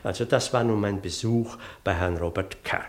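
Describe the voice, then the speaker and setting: A man's voice: on the low side, moderate pace, lightly acted. An actor playing an earnest, long-winded parish clergyman in a German radio play, pedantic and hesitant, easily flustered as he digresses. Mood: insistent, fussy.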